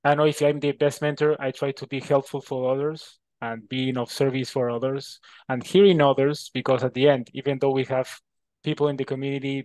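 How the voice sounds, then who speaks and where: A man from Peru with a Peruvian Spanish accent, a native Spanish speaker answering interview questions in a halting way, often repeating words. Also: mid-range voice, a little mellow, no echo, low-quality recording. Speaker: interview guest.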